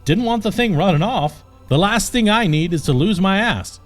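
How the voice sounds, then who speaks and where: A cocky, bumbling hero knight acted by a male reader, breezy and casual. The delivery is lively and fairly high for a man, full of flippant wisecracks. Music plays underneath this comic fantasy audiobook. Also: moderate pace. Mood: urgent, flippant.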